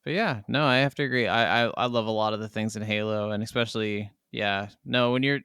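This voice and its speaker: A man's voice: lowish voice, fairly even intonation, brisk, a bit bright, clean tone, no room sound, studio quality. A man co-hosting a podcast, chatting casually about video games in a rambling, enthusiastic way. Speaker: podcast co-host.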